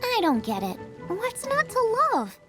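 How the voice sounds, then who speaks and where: A woman playing an excitable, food-obsessed teenage girl chef in an anime-style video game, with game music behind her. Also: very high-pitched, measured pace, full character voice. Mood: puzzled, determined.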